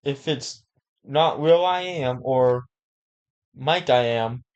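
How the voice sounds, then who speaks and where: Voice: mid-range voice, slow, a little mellow, clean tone, muffled recording. A reaction co-host chatting casually, with crude jokes and mock-scared commentary. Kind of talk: casual joking reaction chat.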